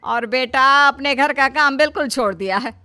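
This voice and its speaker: A woman's voice: sits high, normal speed, sharp tone, faint music behind, voice pushed up. A woman voicing a disgruntled older father-in-law in a cartoon story, complaining emphatically.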